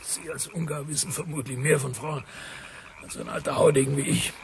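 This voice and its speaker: An actor playing a gruff, chatty old British major who talks in clipped bursts, with a cough, in a radio drama. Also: male voice, on the high side, fairly even intonation, a little fast. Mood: gossipy, confiding. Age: older. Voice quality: frequent coughing, damaged breath. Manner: clipped telegraphic sentences, military bluffness.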